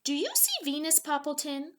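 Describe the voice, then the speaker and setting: A woman voicing a caring, curious male friend in a children's storybook, with expressive emphasis. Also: high voice, moderate pace, a little hiss or hum, pitched above the performer's own voice, heavily acted. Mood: wonder. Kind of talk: children's storybook read-aloud.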